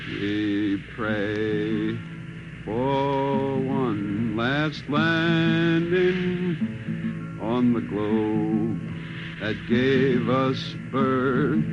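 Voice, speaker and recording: Very slow singing man, old radio. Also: medium pitch. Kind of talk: slow solemn singing, 1950s radio drama. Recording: dull old radio recording, loud music.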